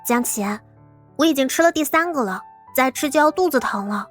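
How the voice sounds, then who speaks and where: Woman voicing a high, childlike innocent rich girl. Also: animated, brisk, faint music behind. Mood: innocent, meek. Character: cute, innocent-acting rich young student girl, aloof, guileless. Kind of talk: audio drama dialogue.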